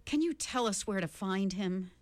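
A woman's voice: medium pitch, expressive, moderate pace, dry recording, lightly acted. A woman reading an audiobook, voicing an impatient, brave teenage girl who demands answers. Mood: demanding. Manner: urgent, demanding.

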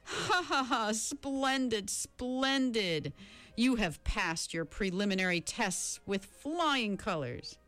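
A woman reading an audiobook, voicing a sinister, bland man who mocks children and chants numbers slowly. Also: mid-range voice, expressive, a bit bright, faint music behind. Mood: mocking. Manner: slow, drawn-out, chanting.

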